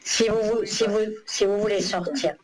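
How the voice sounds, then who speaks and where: A woman's voice: medium pitch, measured pace, crowd noise, rough recording. Hesitant woman giving psychic readings. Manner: hesitant, meandering, many fillers. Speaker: psychic reader. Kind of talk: phone-in consultation.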